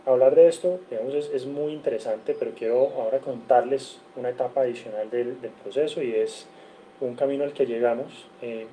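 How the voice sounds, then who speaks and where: Colombian male guest lecturer, deep warm dark tone, earnest, reels off numbers, faint background hiss. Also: fairly quick, rough recording. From Cali, Colombia. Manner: earnest, lists figures, frequent self-corrections. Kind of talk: online seminar lecture.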